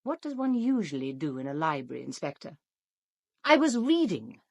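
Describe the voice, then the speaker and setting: An actress playing a haughty, defensive, sharp-tongued suspect in a radio play, with an arch, prickly manner. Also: female voice, sits low, very animated, moderate pace, no room sound, muffled recording, heavily acted. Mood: prickly, sarcastic.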